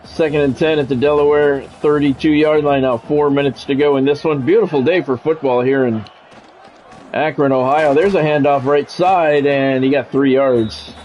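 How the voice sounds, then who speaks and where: A man's voice: fairly high pitch, fairly even intonation, normal speed, a little mellow, muffled recording, faint music behind. A man doing football play-by-play for a video game stream in a conversational, blunt way. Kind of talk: football play-by-play commentary with casual reactions.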